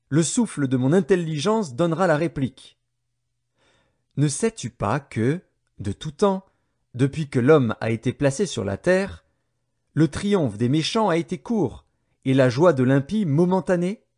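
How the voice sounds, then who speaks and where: Higher-voiced man solemnly reading scripture, softly mellow. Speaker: audio Bible narrator.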